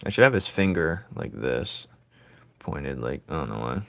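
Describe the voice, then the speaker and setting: A man with a raspy voice streaming his drawing, thinking aloud in casual chat and joking with viewers. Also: very deep voice, sing-song, moderate pace, no room sound.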